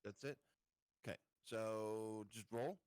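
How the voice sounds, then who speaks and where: A man's voice: fairly low pitch, steady tone, slow pace, a little mellow, smooth, no room sound, clean studio sound. A man playing a tabletop game, chatting casually and jokingly with the group. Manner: joking, informal. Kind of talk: casual chat during a game.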